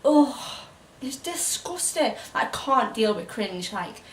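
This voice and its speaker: A woman's voice: on the high side, very animated, takes it slowly. A British woman chatting casually as a co-host, with a blunt, slangy way of talking. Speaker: co-host reacting to readings. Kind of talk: casual chat. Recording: echoey, distant mic, faint noise.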